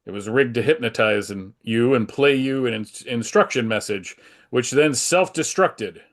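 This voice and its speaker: A man's voice: medium pitch, expressive, normal speed, natural voice. A man playing an earnest, reassuring superhero who explains things declaratively in a comic-book table read.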